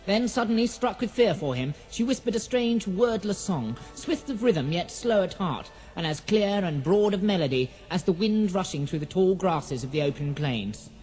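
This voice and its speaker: Lively storybook narrator over music. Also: mid-range voice, moderate pace, few pauses, low-quality recording. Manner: poetic, storybook delivery. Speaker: narrator of fantasy audio drama. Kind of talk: scripted dramatic narration.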